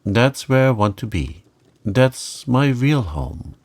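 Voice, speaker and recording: A man voicing a solemn living statue of a child in a storybook, grave and gentle. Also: mid-range voice, very animated, slow pace, gravelly, heavily acted. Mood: tender, sad. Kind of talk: storybook character reading.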